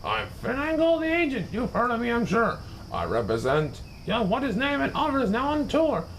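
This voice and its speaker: A man voicing a slick, pushy talent agent with flattering salesman patter in a storybook reading. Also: very high voice, normal speed, slight background noise, exaggerated voice. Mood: boastful. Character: slick fast-talking male talent agent, wheeler-dealer.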